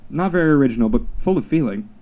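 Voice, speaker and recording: A man reading his own personal essay at a live literary reading, in a measured, deliberate, wry storytelling way. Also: mid-range voice, animated, dark tone.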